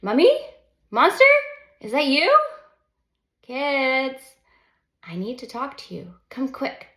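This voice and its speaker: An urgent storybook mom , acted out by a woman reading aloud, calls for her children. Her voice is loud and lifted well above everyday talk. The room around it sounds echoey. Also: high voice, big pitch swings, takes it slowly, voice pushed up, exaggerated voice. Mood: urgent, calling. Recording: roomy echo of an untreated room.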